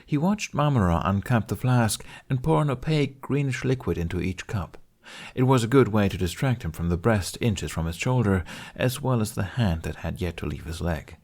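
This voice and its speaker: A man's voice: lowish voice, expressive, moderate pace, darkish tone. A man reading scripted fiction as an audiobook narrator.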